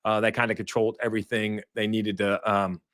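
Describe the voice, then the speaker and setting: Hoarse man hosting a race show. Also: lowish voice, flat delivery, brisk, fairly bright tone. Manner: upbeat, conversational, reads fan chat aloud. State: hoarse, says he lost his voice. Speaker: show host.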